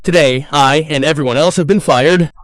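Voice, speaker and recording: A man's voice: a little high, moderate pace, a bit bright, no echo, natural voice. A man playing a critical young husband in a family drama story, with a stern, reproachful manner. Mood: bitter, distressed. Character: demanding, critical young husband, later remorseful.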